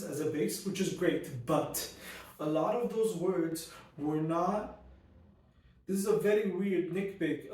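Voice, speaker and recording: A man's voice: fairly high pitch, darkish tone, far from the mic. Echoey ranting book reviewer guy. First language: not Arabic; Arabic learned as second language. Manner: ranty, many fillers and restarts. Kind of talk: casual spoken book review. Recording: very echoey room, voice from off mic.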